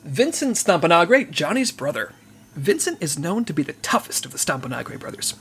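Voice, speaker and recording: An actress playing a businesslike section chief who gives crisp mission briefings. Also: female voice, on the low side, sing-song, normal speed, full character voice. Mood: brisk.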